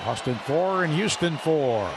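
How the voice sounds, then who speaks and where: A man calling live baseball play-by-play on the radio, building up on big plays and reading stats between pitches. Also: lively intonation, a little slow. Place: stadium broadcast booth. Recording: radio broadcast with loud crowd noise.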